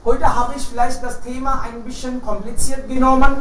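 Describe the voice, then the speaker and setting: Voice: high voice, little pitch movement, fairly quick, fairly bright tone. This is the host of a German-learners' chat group on a video call. The delivery is formal and encouraging, with occasional restarts. The sound is roomy and distant, with faint background hiss. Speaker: host of an online German conversation club. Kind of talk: moderating a language-learner chat. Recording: echoey, distant call audio with faint noise.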